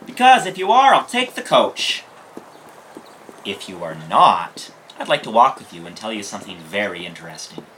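Casting: woman doing a mischievous romantic lad, low for a female voice, very lively swings, period audio drama. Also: moderate pace, heavily acted, poor audio. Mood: defensive. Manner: wheedling, mischievous. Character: teasing, excitable, romantic teenage boy. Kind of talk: period audio play acting. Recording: noticeable background noise and clicks.

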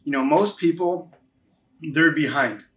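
A man of forty-two with a slightly high voice speaks as a guest in an interview. He gives a conversational testimonial, repeating key phrases for emphasis. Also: unhurried, fairly bright tone, low-quality recording. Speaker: interview guest, financial advisor.

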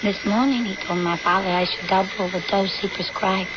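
An actress playing a pained, guarded young daughter who suffers from headaches, in an old radio drama, with a questioning manner. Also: female voice, mid-range voice, fairly even intonation, moderate pace, lightly acted. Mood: weary, guarded. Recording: dull, heavily noisy old radio recording.

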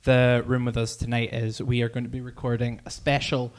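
A Scottish man co-hosts a podcast in a relaxed, informal way. His talk is chatty and a little sweary. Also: mid-range voice, brisk. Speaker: podcast co-host. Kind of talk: casual podcast chat.

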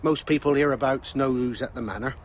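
An actor playing a deferential local chief inspector in a radio drama, matter-of-fact and clipped. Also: male voice, mid-range voice, steady tone, fairly quick, slight background noise, natural voice. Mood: businesslike.

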